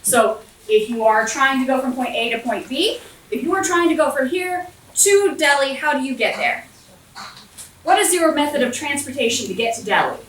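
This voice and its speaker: An American woman from West Virginia giving a lively motivational talk to an audience, speaking loudly and projecting her voice while walking around. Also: high voice, moderate pace. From Southwestern West Virginia, USA. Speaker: guest speaker and center director. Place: large indoor event room. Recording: echoey room, voice from far off, faint noise.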